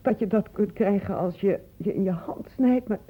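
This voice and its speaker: A woman's voice: mid-range voice, moderate pace, slightly rough, natural voice. An actress playing the bewildered, defensive mother of a sick teenager in a Dutch radio drama, hesitant, on a muffled old recording. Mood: bewildered, anxious. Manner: confused, hesitant. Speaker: actor in a radio play.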